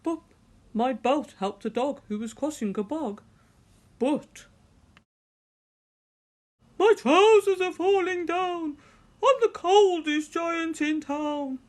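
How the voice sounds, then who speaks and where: A woman's voice: sits high, lively intonation, slow, pauses often, voice pushed up. Lady doing a friendly giant guy from a kids book, bouncy, sing-songy, super emphatic. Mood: dismayed or excited. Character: friendly, generous, cheerful male giant. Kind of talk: children's picture book reading.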